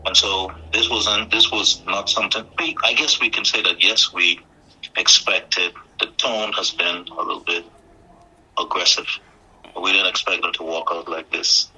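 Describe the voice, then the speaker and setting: A man's voice: sits low, steady tone, moderate pace, pushed and bright, breathy edge, rough recording. A man giving unscripted interview answers as an executive, hesitant and often repeating his words. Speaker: airport authority chief executive being interviewed.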